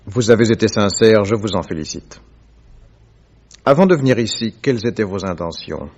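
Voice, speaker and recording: A man acting a composed gentleman detective in a French radio drama, measured, formal and authoritative. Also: lowish voice, animated. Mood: calm, judicial. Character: composed gentleman detective passing lenient judgement. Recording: dull, lo-fi old radio recording.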